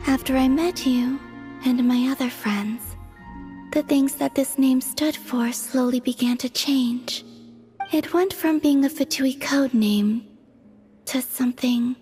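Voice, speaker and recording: Soft-spoken gentle maiden, high voice, slow delivery, game cutscene, faint music. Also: female voice. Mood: tender, wistful. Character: gentle, soft-spoken young woman, a moon maiden newly named. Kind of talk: video game cutscene acting.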